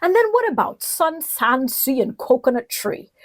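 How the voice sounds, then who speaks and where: High-voiced woman teaching, dry close sound. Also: big pitch swings, normal speed, dry recording. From the Bahamas. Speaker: political leadership lecturer. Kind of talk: instructional lecture to camera.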